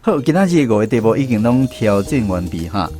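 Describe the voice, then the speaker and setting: Deep-voiced, animated Taiwanese male host. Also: fairly quick, soft background music. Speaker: host of a Taiwanese-language quiz segment. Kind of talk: hosting a radio language lesson.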